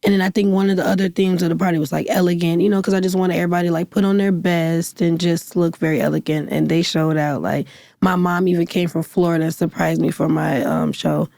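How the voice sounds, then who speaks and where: Casting: twenties woman, raspy gravelly singer's speaking voice, laid-back interview guest, dry close mic. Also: on the low side, fast talker, no echo. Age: 20s. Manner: relaxed, filler-heavy, laughs easily. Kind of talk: casual interview chat.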